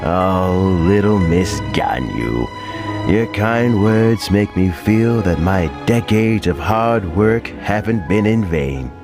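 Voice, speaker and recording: A man's voice: low-pitched, lively intonation, takes it slowly, over music, heavily acted. An actor playing a kindly, modest old statesman in a video game, chatty and chuckling. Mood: genial. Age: older.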